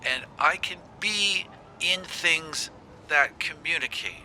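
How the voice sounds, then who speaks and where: A man's voice: fairly high pitch, slow, bright, forceful tone, slight background noise, exaggerated voice. A man voicing an upbeat, frank female spirit who speaks through phones in a tabletop game, with a breezy, slightly hesitant manner. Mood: sheepish, frank.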